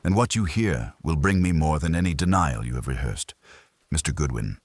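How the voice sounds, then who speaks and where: A man playing an imperious older detective in an audiobook mystery, speaking in a measured, formal, pompous way. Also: extremely low pitch, lively intonation, no room sound. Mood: stern, deliberate.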